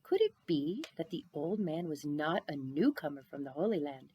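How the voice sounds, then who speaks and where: A woman reading a children's story aloud in an expressive narrating style. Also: low-pitched, normal speed, husky. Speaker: storybook reader.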